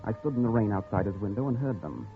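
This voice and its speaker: An actor playing a weary, desperate captive in an old-time radio drama, earnest and emphatic in both dialogue and narration. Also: male voice, lowish voice, animated, fast talker, faint music behind. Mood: grim recounting. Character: desperate male captive of a jungle recluse, weary, pleading. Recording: tinny, band-limited vintage radio recording.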